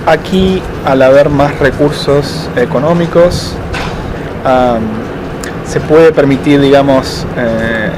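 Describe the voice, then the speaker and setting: Guy in his 30s, thinking out loud, lots of ums, street noise behind. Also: medium pitch. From Argentina. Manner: thoughtful, hesitant, many fillers and slow pauses. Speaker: interviewee, doctoral student. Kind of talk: casual interview. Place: outdoors in a city.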